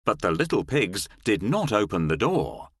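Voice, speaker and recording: Male children's storybook narrator: measured pace, hugely varied intonation, dry studio sound. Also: fairly low pitch, sing-song, husky, no room sound. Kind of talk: scripted fairy tale narration for children.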